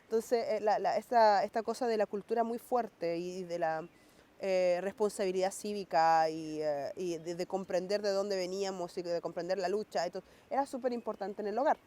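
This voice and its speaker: A woman's voice: mid-range voice, moderate pace, mellow. A woman raised in Norway, reflecting in a documentary interview and speeding up when she quotes her parents.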